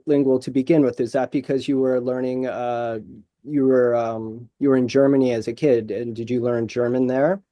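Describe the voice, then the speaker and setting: A man's voice: mid-range voice, fairly even intonation, moderate pace, mellow, dry recording, muffled recording. A man hosting an interview, asking curious questions with hesitant fillers. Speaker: podcast host.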